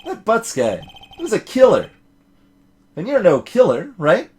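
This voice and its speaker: Guy doing a blunt dopey cop from a game, blustery, pitch way up and jumpy. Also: huge pitch swings, measured pace, darkish tone, full character voice. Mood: sheepish, suspicious. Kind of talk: voiced visual novel game reading.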